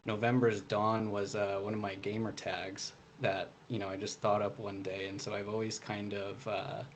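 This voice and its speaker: Casting: young male author in a casual interview, lowish voice, halting and filler-heavy, noticeable background noise. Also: little pitch movement. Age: young adult. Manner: hesitant, filler-heavy explaining with many "you know". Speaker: debut author being interviewed.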